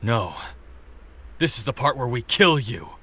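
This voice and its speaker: A man's voice: mid-range voice, sing-song, a little slow, pushed and bright, husky, heavily acted. A man playing a brooding, cursed avenger in an audio drama, speaking in a blunt, terse way. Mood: resolute.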